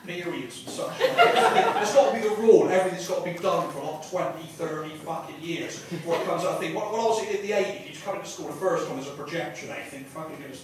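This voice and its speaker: An English man performs rambling observational stand-up in a slightly high voice. He swears freely, stumbles and doubles back on his phrases. The recording is a distant live club capture, very echoey, with clear room noise around him. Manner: sweary, halting, digressive, repeating himself. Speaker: stand-up comedian. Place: small live comedy venue in a pub. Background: audience laughter and room noise.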